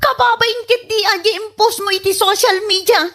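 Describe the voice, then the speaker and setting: An actress plays an exasperated Ilocano wife in a radio comedy drama. Her voice is high, and she scolds with emphatic indignation, ashamed of her foolish husband. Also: steady tone, brisk, bright, forceful tone, heavily acted. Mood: scolding.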